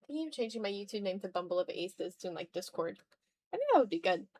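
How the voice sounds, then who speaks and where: A woman with a mid-pitched voice hosts a casual art livestream. She sounds tired and low-key, rambling along to her viewers while she draws. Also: sing-song, fast, darkish tone. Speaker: streamer hosting a live art stream.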